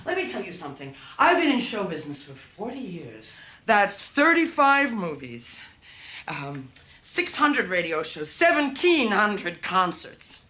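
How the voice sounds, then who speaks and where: An American actress playing a middle-aged showbiz star, volatile, wounded and proud, in dramatic screen style. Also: female voice, mid-range voice, very animated, unhurried, sharp tone. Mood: proud, defiant. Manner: theatrical, emphatic, emotional. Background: music.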